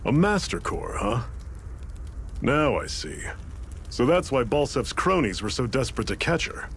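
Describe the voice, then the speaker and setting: An actor playing a seasoned, fatherly rebel leader in a video game, with a relaxed, folksy, mentoring way of talking. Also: medium pitch, measured pace, slight background noise. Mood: wry, explaining.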